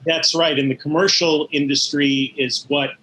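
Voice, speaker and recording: A man's voice: a little high, steady tone, moderate pace, a bit bright, slight background noise, rough recording. A middle-aged or older man, an interview guest recalling his work in animation with emphatic enthusiasm. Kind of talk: conversational recollection.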